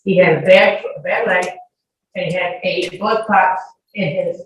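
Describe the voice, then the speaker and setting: Defensive woman testifying in an echoey courtroom. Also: lowish voice. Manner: defensive, argumentative, interrupting, halting. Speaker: witness testifying. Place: courtroom hearing. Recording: echoey courtroom, distant, noisy microphone.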